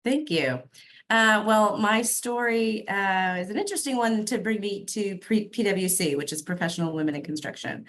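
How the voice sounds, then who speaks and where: A woman's voice: mid-range voice, expressive, normal speed, a bit bright. A woman from Massachusetts, an executive director interviewed as a guest, telling her career story in a chatty way with many ums. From Massachusetts, USA. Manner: chatty, lots of ums, upbeat.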